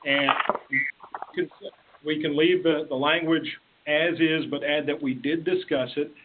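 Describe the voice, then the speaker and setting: A man's voice: on the high side, fairly even intonation, measured pace, heavy background noise. A man talking conversationally as a participant in a conference call meeting. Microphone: telephone. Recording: tinny conference phone line.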